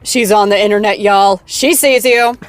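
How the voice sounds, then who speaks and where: A woman's voice: medium pitch, steady tone, moderate pace, smooth, no echo, faint music behind, close to an everyday voice. An actress playing a bubbly young talk show host who teases her guest and her fans in a playful, theatrical way. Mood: upbeat teasing.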